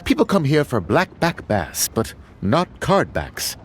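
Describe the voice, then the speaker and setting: Game fishmonger, chatty, salesmanlike, varied pitch, faint music behind. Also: male voice, huge pitch swings, measured pace. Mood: obliging, hopeful. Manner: salesmanlike, obliging. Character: chatty fishmonger pushing fresh fish. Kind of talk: video game character dialogue.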